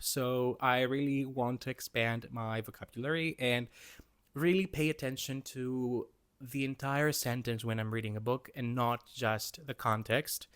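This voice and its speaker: A man's voice: medium pitch, fairly bright tone. A young man from Greece with a Greek accent, a native Greek speaker, talking candidly and with some self-doubt in a rambling vlog monologue about his struggles. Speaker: booktuber.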